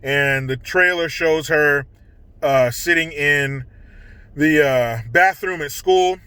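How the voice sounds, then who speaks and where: A man's voice: fairly high pitch, slow pace, bright, forceful tone, smooth, no echo. A man hosting a vlog, giving casual, opinionated political commentary in a blunt, indignant, profane manner.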